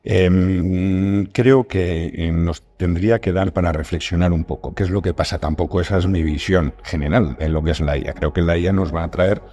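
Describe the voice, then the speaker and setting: Low-voiced man chatting with a Spain accent. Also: steady tone, moderate pace, mellow. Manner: explanatory, repeats phrases for emphasis. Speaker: podcast guest, scriptwriter and audio fiction creator. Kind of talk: casual conversation.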